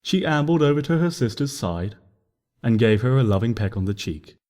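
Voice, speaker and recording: Calm man reading a storybook. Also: mid-range voice, moderate pace. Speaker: story reader. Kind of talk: storybook narration. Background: soft music.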